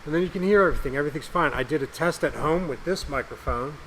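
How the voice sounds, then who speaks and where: A man co-hosting a casual podcast, slightly raspy from a recent cough, rambling and joking. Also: a little high, fast, a bit bright, slight background noise, low-quality recording. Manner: rambling, jokey, swears casually. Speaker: podcast co-host and producer.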